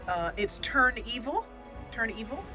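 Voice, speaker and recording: A woman's voice: on the high side, very animated, a little slow, over music. A woman hosting a roleplay game playthrough, chatting casually with many fillers and restarts. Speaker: host playing a roleplay game. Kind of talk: let's play commentary.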